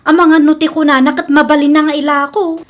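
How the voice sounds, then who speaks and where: A woman playing a gossipy, suspicious village woman in a radio comedy drama, with a drawn-out, complaining delivery. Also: high-pitched, level tone, natural voice. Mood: aggrieved.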